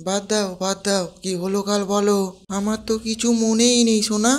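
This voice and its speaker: A woman's voice: medium pitch, little pitch movement, normal speed, slight background noise, natural voice. A woman voicing a gullible, hard-drinking husband in a cartoon comedy. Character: hapless husband, tipsy and gullible. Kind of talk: cartoon voice acting.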